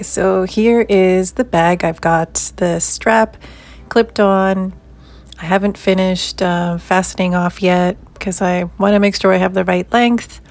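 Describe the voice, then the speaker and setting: A woman in her thirties narrating a casual craft vlog, informally thinking aloud as she goes. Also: fairly low pitch. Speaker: crochet crafter and vlogger.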